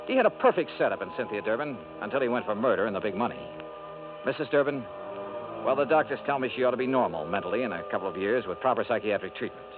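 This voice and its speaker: A man's voice: medium pitch, expressive, fast, over music, close to an everyday voice. A man playing a hardboiled male insurance investigator, narrating and confronting suspects in clipped, matter-of-fact 1950s radio drama style. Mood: dry, reporting. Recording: tinny lo-fi 1950s radio broadcast.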